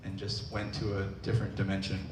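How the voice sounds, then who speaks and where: A man's voice: sits low, flat delivery, fast. A man hosting a live open mic, easygoing and hesitant with lots of fillers. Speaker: open mic host and musician. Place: indoor open mic venue. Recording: roomy venue, distant mic, background noise.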